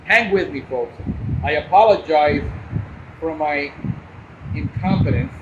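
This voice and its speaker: A man's voice: a little high, a little slow. An American man hosting a podcast in a casual, unscripted way, with a blunt, combative manner. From Cuban, grew up in New Jersey and Miami. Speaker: podcast host. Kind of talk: casual unscripted live commentary. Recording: muffled, loud background noise.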